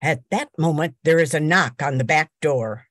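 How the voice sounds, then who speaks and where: A woman's voice: low voice, moderate pace, heavy background noise, lightly acted. An older woman playing the announcer of an old-time radio play, reading scripted scene-setting narration. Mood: matter-of-fact.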